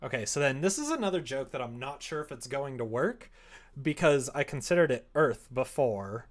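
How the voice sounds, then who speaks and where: A streamer chatting casually while working on a visual novel, drifting between conversation and quieter reading aloud. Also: mid-range voice, big pitch swings, normal speed. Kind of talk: casual livestream chat while writing, murmuring draft lines.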